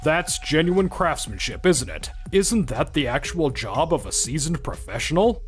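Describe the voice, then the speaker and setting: Man acting animated, high-pitched earnest businessman. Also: moderate pace, close to an everyday voice. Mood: indignant. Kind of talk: anime-style manga dub acting and narration. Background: music.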